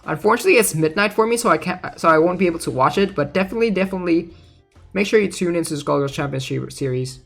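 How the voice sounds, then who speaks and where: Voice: medium pitch, lively intonation, very fast, vocal fry, fairly bright tone, faint music behind. A gaming content creator giving casual spoken commentary on game news, sometimes reading announcements aloud.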